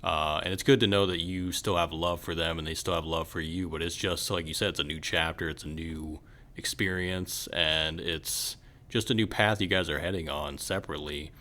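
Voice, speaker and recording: A man's voice: low voice, fairly even intonation, normal speed, pushed and bright. A man hosting a casual interview, speaking in an encouraging, affirming way. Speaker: podcast host.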